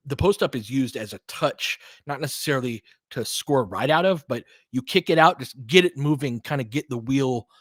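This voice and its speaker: Male basketball commentator, mid-pitched, informal and slangy, breaking down tactics as play goes on. Dry, close recording. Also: fairly bright tone, dry recording. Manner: conversational, slangy, explains tactics in detail. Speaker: play-by-play announcer and analyst.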